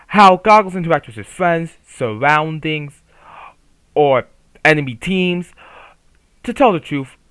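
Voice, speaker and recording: A man's voice: fairly high pitch, sharp tone, no echo. A man giving casual, opinionated commentary on a manga, swearing freely and rambling with restarts. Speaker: YouTuber reviewing a manga.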